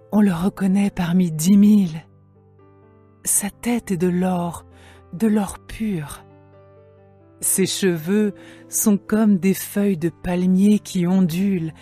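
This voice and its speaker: A woman playing a lovesick young woman longing for her beloved, in a measured, poetic dramatized scripture reading. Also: fairly low pitch, mellow, husky.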